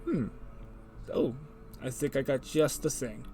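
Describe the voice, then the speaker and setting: A man's voice: medium pitch, a little slow, mellow, faint music behind. A man hosting a casual livestream, reading the chat aloud in a joking way and laughing often. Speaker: stream host.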